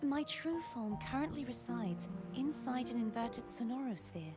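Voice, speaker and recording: A woman voicing an enigmatic, composed witch in a video game, speaking in a measured, poised way. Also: on the high side, animated, loud music behind the voice, natural voice. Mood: solemn. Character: enigmatic, composed witch who lost her powers.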